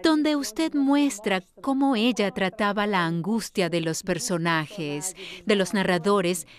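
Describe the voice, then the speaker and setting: An actress voices a scholarly female interviewer in a dubbed conversation. Her pitch is medium for a woman. She is attentive and articulate, putting things in an inquisitive, conversational manner. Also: slow, lightly acted. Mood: engaged. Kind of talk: Spanish voice-over dub of an interview.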